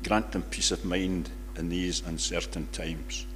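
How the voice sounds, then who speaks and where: An older man reads a public prayer in solemn, measured phrases in a church. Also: low-pitched, steady tone, a bit bright. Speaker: congregation member leading prayers. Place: large church, at a lectern. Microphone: lectern microphone. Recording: reverberant church hall, faint background noise.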